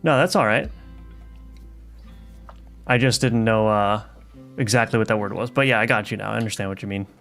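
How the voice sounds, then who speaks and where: A man's voice: fairly low pitch, fairly quick, gravelly, long pauses. Man thinking aloud while live coding. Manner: thinks aloud, casual, many fillers and restarts. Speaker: game developer streaming live. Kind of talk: live coding stream, reading chat. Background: soft lofi music.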